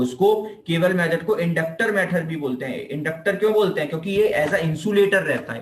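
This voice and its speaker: Indian man lecturing, higher-pitched voice, echoey room. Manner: repeats and rephrases points, asks and answers own questions. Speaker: physiotherapy teacher. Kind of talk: informal explanatory classroom lecture, Hindi mixed with English terms.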